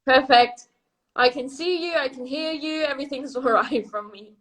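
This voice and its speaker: A woman's voice: high voice, moderate pace, low-quality recording. A woman in her twenties whose first language is Italian, hosting a casual live-stream chat over a video call and laughing often. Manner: laughs often, lively and friendly. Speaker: host and chief editor.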